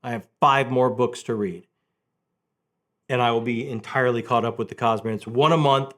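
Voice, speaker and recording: A man hosting a casual, conversational book video, telling things in an animated way and repeating phrases for emphasis. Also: mid-range voice, moderate pace. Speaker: book review video host.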